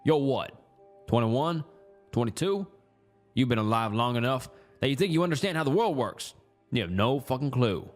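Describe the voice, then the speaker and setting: A man voicing a masked cult leader in a horror story, with a deep Southern US accent, speaking in a level, almost bored, quietly malicious way. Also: lively intonation, moderate pace, lightly acted. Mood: contemptuous. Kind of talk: horror audio story dialogue. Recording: music under the voice.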